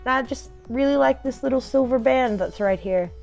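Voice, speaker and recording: A young woman with a fairly high voice chats casually to the camera in her living room. She is playful and bubbly, tossing in exclamations and sing-song asides as she reviews a product. Faint music plays behind her. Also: normal speed, creaky, a little mellow. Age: young adult. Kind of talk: casual unboxing chat to camera.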